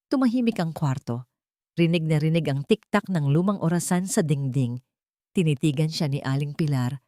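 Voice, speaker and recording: A woman's voice: sits low, lively intonation, moderate pace, warm tone, no room sound, studio quality. A woman with a Filipino accent narrating a scripted dramatic story.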